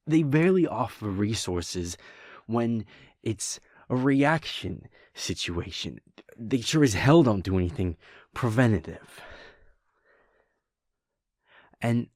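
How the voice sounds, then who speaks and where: A man voicing a caring adult werewolf boyfriend in an audio roleplay, talking earnestly and softly, often close to a whisper. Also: lowish voice, expressive, measured pace, no room sound. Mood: frustrated, serious.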